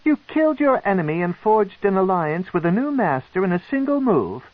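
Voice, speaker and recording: A man voicing a cold, commanding female dark elf matriarch with an imperious, snarling manner. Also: high-pitched, sing-song, moderate pace, dry recording, phone-quality sound, pitched above the performer's own voice.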